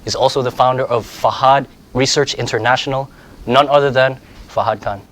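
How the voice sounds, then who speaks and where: A man's voice: mid-range voice, fairly even intonation, brisk, fairly bright tone. A man hosting an interview show, reading a scripted intro and then asking questions with some hesitant fillers. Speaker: host. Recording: music clearly behind the voice.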